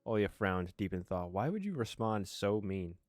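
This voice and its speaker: A man's voice: fairly low pitch, big pitch swings, moderate pace, a little mellow, no echo, faint music behind. A man doing a casual let's play, reading narration aloud and commenting on it, sometimes tripping over his words. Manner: stumbles over words while reading, self-correcting.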